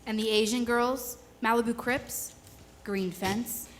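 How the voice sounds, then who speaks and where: A woman's voice: fairly high pitch, steady tone, takes it slowly. A woman playing a businesslike, probing news interviewer in a radio drama. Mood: challenging. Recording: live hall reverb, faint noise.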